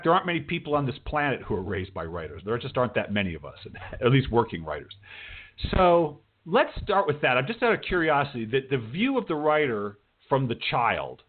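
An American man hosting a talk show in an informal, chatty way, with emphatic asides and repeated phrases. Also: mid-range voice. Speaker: radio show host and writing teacher. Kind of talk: chatty show introduction and interview. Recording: narrow tinny phone-line sound.

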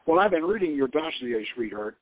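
A man reading as a wry hard-boiled professor, higher-pitched. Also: big pitch swings, a little fast, no echo, phone-quality sound. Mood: teasing. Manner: blunt, teasing, hard-boiled. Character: wry, suspicious male professor and adventurer, gruff flirt. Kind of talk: dramatic novel reading.

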